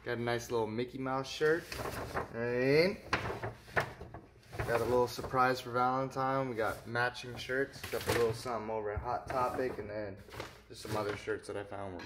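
A man's voice: medium pitch, fairly even intonation, moderate pace, echoey room, background noise. A young adult man with an American accent chatting casually to the camera in slangy, informal vlog style. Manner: slangy, informal, often saying bro and man. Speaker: video blogger. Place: indoors, in an apartment, car and parking garage.

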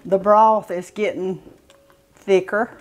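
A woman's voice: medium pitch, steady tone, slow, soft background music, poor audio. Southern US woman in her sixties, cooking host. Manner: folksy, explaining step by step while working. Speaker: home cooking show host. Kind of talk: informal cooking demonstration. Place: home kitchen, indoors. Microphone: clip-on lapel mic.

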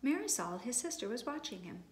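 Woman reading aloud in an echoey room. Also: a little high. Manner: expressive, deliberate, addressing children directly. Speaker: preschool teacher. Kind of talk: read-aloud storytelling to young children. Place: empty classroom. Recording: echoey room with background noise.

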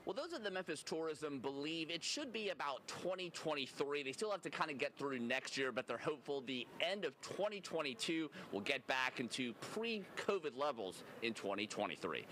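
A man's voice: on the high side, normal speed, hardly any pauses, a little hiss or hum. Man reporting live from a convention hall. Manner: steady broadcast delivery. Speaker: field reporter. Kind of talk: news report. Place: large convention hall, indoors. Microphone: handheld mic.

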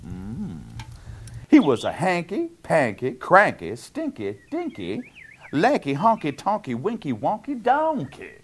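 A man's voice: on the high side, wildly swooping pitch, takes it slowly, breathy edge, full character voice. A middle-aged man playing a jolly Canadian lumberjack, reading a picture book to children with playful, exaggerated sing-song rhythms and chuckly asides. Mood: playful, folksy. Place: small indoor cabin-style set.

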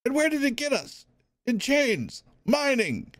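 Male narrator doing a high, jeering rebel in the crowd. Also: measured pace, fairly bright tone, no echo, studio quality. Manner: jeering and defiant. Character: mocking defiant man in a rebellious crowd.

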